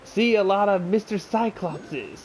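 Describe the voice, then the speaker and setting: Male streamer, high-pitched voice, casual gameplay commentary, halting delivery, faint game music behind. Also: lively intonation, darkish tone, rough recording. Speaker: game livestreamer.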